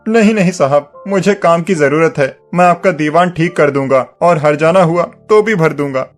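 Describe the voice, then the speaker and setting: An actor playing a humble, honest poor village carpenter, deferential and pleading, in a dramatized moral story. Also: male voice, fairly high pitch, moderate pace, soft background music, close to an everyday voice.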